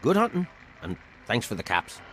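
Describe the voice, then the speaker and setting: Sly, swooping wasteland dealer. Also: male voice, medium pitch, huge pitch swings, normal speed, a bit bright, slight background noise. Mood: smug, wheedling. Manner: smug, oily, teasing. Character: sly, mercenary wasteland information dealer, adult man.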